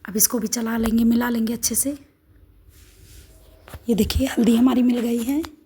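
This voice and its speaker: Hoarse woman demonstrating home cooking. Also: fairly high pitch, fairly even intonation, moderate pace, warm tone. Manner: homely, step-by-step instructions. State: hoarse, sore throat. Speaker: cooking channel host.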